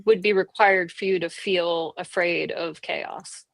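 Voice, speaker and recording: A woman's voice: fairly low pitch, steady tone, a little slow, vocal fry, a bit bright, dry recording. A woman chatting in an online group call, speaking thoughtfully and hedging with many fillers. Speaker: participant in an online group chat. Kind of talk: casual chat.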